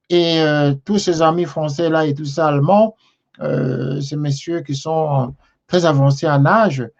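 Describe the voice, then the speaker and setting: Man, TV talk host, hesitant, slightly high voice, muffled lo-fi sound. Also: darkish tone. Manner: hesitant, many fillers and restarts. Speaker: television show host. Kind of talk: talk show host reading viewer messages.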